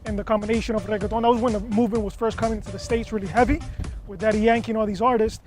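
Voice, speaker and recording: A man with an extremely high-pitched voice giving casual interview answers. He repeats words for emphasis, and faint music plays behind him. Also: steady tone, racing. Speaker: interview guest.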